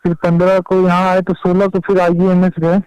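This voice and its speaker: A man's voice: sits high, monotone, moderate pace, a little mellow, smooth, no echo. A man with a Bihari accent recounting events plainly, step by step, over a recorded telephone call. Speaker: personal assistant to a politician, interviewee. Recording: dull, dry recorded phone call.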